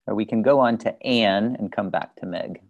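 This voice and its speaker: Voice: medium pitch, normal speed, gravelly, highs cut off. A host patiently guiding people through introductions on a video call in a casual, instructive way. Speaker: host of an online group call.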